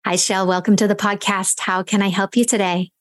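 A woman hosting a podcast and giving an intuitive reading, her voice a little raspy today, talking in a gentle, unhurried way full of imagery. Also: mid-range voice, little pitch movement. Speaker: podcast host and intuitive reader. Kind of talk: guided intuitive reading, descriptive.

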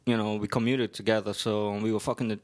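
Loud Brooklyn man telling stories. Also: on the low side, flat delivery, fast talker. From New York. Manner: loud storyteller, laughs hard at own stories. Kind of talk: casual chat.

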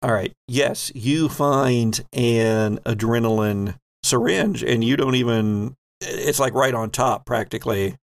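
A man's voice: medium pitch, takes it slowly, gravelly, hardly any pauses, no room sound, studio quality. A man acting as game master of a tabletop RPG, narrating and ruling in a playful, teasing way.

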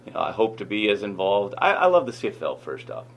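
A man, a football head coach and manager, answering in an interview clip with halting speech and frequent restarts. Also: fairly low pitch, wildly swooping pitch, vocal fry. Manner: halting, many fillers and restarts.

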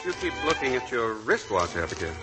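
A man's voice: medium pitch, big pitch swings, brisk, lightly acted. An actor playing a restless, self-mocking young man with a glib, teasing way of talking, in a 1940s radio drama. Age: young adult. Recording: vintage 1940s radio broadcast, music behind.

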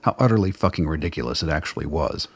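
A man reads scripted narration for a radio play. His voice is exceptionally deep and husky. The sound is close and dry, with no room around it. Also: animated, quick pace, no room sound.

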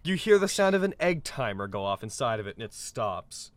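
A man narrating a tabletop game as game master, halting and conversational, with dramatic descriptions. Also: medium pitch, sing-song, very noisy.

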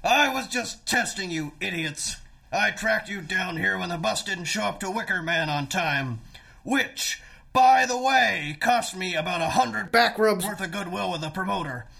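A man playing a scheming, blustering music manager in a comedic radio play, wheedling and bossy, with a raspy voice. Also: sits high, expressive, unhurried, sharp tone, heavily acted. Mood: smug, scolding. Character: scheming, blustering music manager, sometimes disguised as oily foreign label man.

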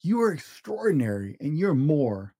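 A man reads a children's storybook aloud as the host of a read-along. His voice is relaxed and mellow, with animated rises and falls. The sound is dry, and the delivery is simple and expressive for young listeners. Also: on the high side, normal speed, no room sound.

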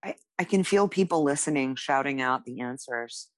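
A woman's voice: rumbling low, expressive, normal speed. A woman chatting casually as a podcast co-host, playful, irreverent and self-deprecating. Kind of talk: casual banter on a tarot quiz podcast.

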